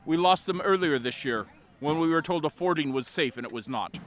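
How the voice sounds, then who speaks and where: A man's voice: a little high, animated, brisk, pitched below the performer's own voice, close to an everyday voice. A man voicing a poor, grieving traveller father in a folk tale, courteous and folksy.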